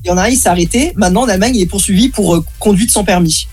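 A young man, a student, chatting casually and complaining in a fast, animated way. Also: sits high, husky, faint music behind. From Baden-Württemberg, Germany. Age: young adult. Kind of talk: casual chat.

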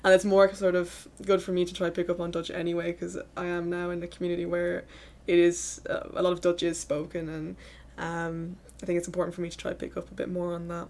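Young woman with an even, lowish voice. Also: fairly quick, few pauses. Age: young adult. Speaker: student host asking questions. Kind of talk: casual chat.

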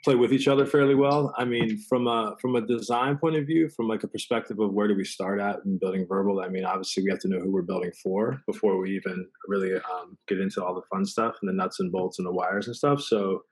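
A man's voice: on the low side, steady tone, fast talker, few pauses, breathy edge, low-quality recording. A man explaining his work as an interview guest in a casual, rambling way, full of verbal tags. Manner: rambling, peppered with "you know" and "right". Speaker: product designer, interview guest.

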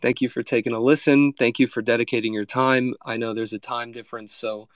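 A man's voice: mid-range voice, fairly even intonation, a little fast, no echo. A man hosting a podcast interview, friendly and effusive, speaking over a video call. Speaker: podcast host. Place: Los Angeles.

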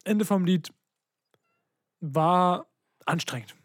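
A man's voice: high-pitched, extremely slow, no echo, clean studio sound. A young man co-hosting a podcast, telling stories in casual slangy speech and acting out the dialogue. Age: young adult. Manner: slangy, colloquial, acts out quoted dialogue. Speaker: podcast co-host. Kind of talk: casual chat, animated storytelling.

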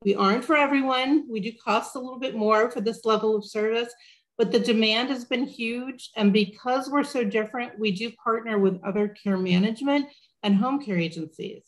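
A woman's voice: moderate pace, poor audio. A woman in business development introducing herself and her company at a virtual event, upbeat and promotional. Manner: upbeat, pitch-like delivery. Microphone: video call.

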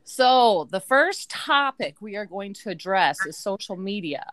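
A woman in her forties hosting a casual family podcast, chatty and quick to laugh. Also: medium pitch, lively intonation, fairly bright tone, no echo. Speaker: podcast host. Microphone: remote call.

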